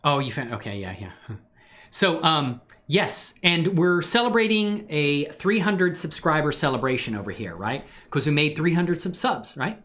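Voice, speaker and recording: A man's voice: fairly high pitch, moderate pace. A man hosting a casual livestream chat, talking in an effusive, grateful manner with his viewers. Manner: effusive, excitedly whooping greetings to viewers, grateful. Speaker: live stream host and 3D animation creator.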